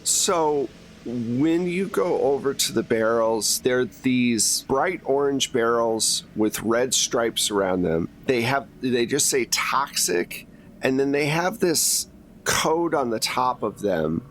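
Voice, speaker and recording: A man's voice: medium pitch, animated, slow pace, a bit bright, a little hiss or hum, poor audio. A man running a tabletop game as game master, recapping and narrating in an informal, explanatory way.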